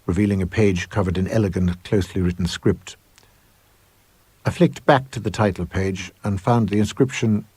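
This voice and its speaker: A man narrating a scripted ghost story in a measured, suspenseful storytelling manner. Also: low-pitched, darkish tone, husky, no echo. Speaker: audiobook narrator.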